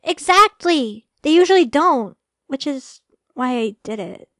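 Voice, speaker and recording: A high, close and dry young female voice acted by a woman plays a sweet girlfriend in a romantic ASMR roleplay. She is shy and affectionate, speaking softly and hesitantly, easily flustered. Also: expressive, a little slow, dry recording, full character voice. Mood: affectionate, playful. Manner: soft, hesitant, affectionate, stammering when flustered.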